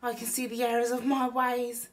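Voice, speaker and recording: A woman's voice: on the high side, completely flat delivery, moderate pace, recorded from a distance, pitched above the performer's own voice, natural voice. A woman voicing a good-natured, plain-spoken working-class male burglar in a storybook. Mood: repentant.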